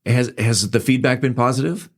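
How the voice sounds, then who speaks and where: A man interviewing a guest on a podcast, conversational, putting his questions in a halting, deliberate way. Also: mid-range voice, steady tone.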